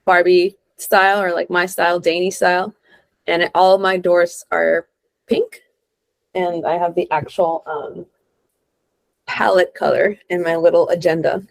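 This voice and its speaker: Chatty young woman, slightly low voice. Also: vocal fry, dry recording. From Honduras. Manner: informal and expressive, peppered with "like", acts out quoted lines. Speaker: guest, real estate investor and entrepreneur. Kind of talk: casual, chatty interview storytelling.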